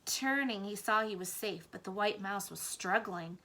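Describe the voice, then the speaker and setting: A woman's voice: medium pitch, normal speed, bright, forceful tone. A woman teacher reading a children's book aloud and explaining it to young pupils.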